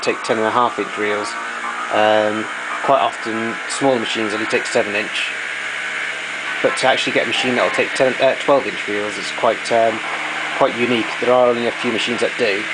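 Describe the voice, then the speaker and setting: A man's voice: fairly low pitch, heavy background noise. A man giving an informal, hands-on equipment demonstration, explaining technical details as he goes.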